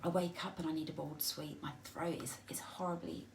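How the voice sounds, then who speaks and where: A middle-aged woman with a husky, hoarse voice and a dry throat, speaking softly and haltingly in a personal message to viewers. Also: low voice, flat delivery, a little hiss or hum. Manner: soft, halting, with many restarts and ums. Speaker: vlogger.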